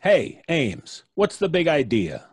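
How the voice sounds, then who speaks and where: Wry, animated radio-play cop. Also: male voice, a little high, takes it slowly, slightly rough, dry recording, full character voice. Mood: scornful, wry. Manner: colloquial, wry, placating. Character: skeptical, blunt, streetwise male police officer. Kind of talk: old-time radio suspense acting.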